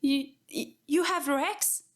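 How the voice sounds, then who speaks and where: A woman's voice: high voice, slow pace. A woman playing an eccentric, curious tech-priest scholar, affectionate and a little nervous, in a sci-fi audio drama. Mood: nervous pleading.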